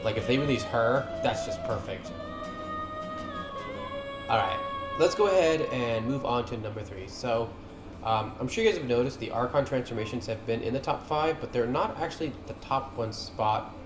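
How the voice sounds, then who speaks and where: Man, informal gaming commentary, enthusiastic fan manner, medium pitch, roomy echo, music behind. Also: expressive, moderate pace. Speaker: gaming video creator. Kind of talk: casual commentary over game cutscenes. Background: game cutscene music.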